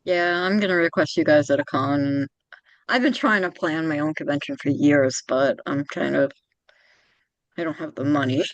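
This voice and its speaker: Brief: woman podcast host, youthful sound, slightly low pitch, dry close sound, chatty and rambling. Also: normal speed, no echo, muffled recording. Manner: chatty, rambling, with frequent restarts. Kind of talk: casual interview chat.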